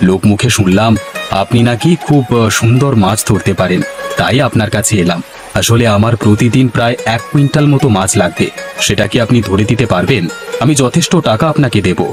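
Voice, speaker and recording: A man voicing a businesslike, generous city fish merchant in an animated folk tale, speaking in a formal, measured, authoritative way. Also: fairly low pitch, close to an everyday voice. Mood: businesslike, assured. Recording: music behind the voice.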